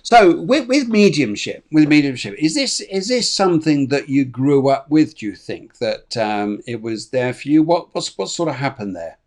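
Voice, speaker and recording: A man's voice: fairly high pitch, sing-song, normal speed, hardly any pauses, darkish tone. A man hosting an online spiritual show, chatting casually with many fillers and restarts. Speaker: show host.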